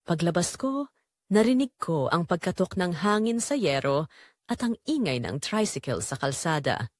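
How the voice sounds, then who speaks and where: Casting: female Filipino audio drama narrator, earnest and emotive, animated pitch, close dry recording. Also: normal speed, no room sound. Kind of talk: scripted first-person dramatic narration.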